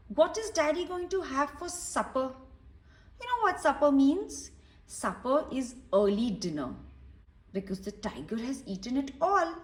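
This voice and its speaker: High, animated woman reading to children, slow pace. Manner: animated, explaining simply, asking the listener questions. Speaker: children's story reader. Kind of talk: storybook read-aloud with explanatory asides to young children. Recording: roomy echo, distant from microphone.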